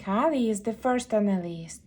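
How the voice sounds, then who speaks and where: A woman reading a children's picture book aloud, telling the story in an expressive, deliberate way for young kids. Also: mid-range voice, a little hiss or hum.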